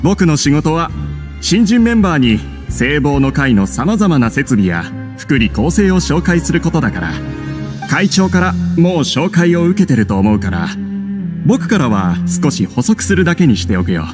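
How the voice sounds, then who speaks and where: A man's voice: fairly high pitch, big pitch swings, normal speed, music in the background. An actor playing an easygoing club guide in an anime game, friendly and informal as he explains. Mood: affable. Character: affable, easygoing adult male club guide. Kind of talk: Japanese anime game voice acting.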